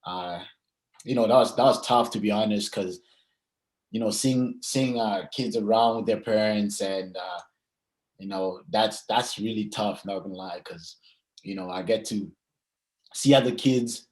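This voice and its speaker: A man's voice: lowish voice, low-quality recording. A young man, chatting casually and hesitantly as an athlete being interviewed. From Zimbabwe and South Africa. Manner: hesitant, frequent pauses and "you know".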